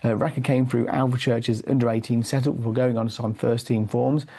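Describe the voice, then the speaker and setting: A man's voice: mid-range voice, steady tone, a little fast, warm tone. A man who has lost his voice to a cold, reviewing football league news with many fillers and restarts. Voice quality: hoarse, gravelly. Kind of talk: weekly football review, read from notes.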